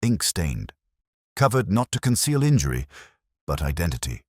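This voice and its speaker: A man voicing a cool, relentless Victorian detective with formal, deliberate speech in an audiobook story. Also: deep voice, very animated, breathy edge, no room sound, clean studio sound, heavily acted. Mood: pressing, incisive.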